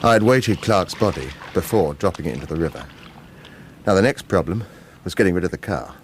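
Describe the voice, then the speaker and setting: Low-voiced, world-weary retired hitman. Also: lively intonation, a little fast, slight background noise. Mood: tense, calculating. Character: world-weary, wary male retired professional killer. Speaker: actor playing the narrator and lead. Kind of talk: radio drama, first-person crime narration and dialogue.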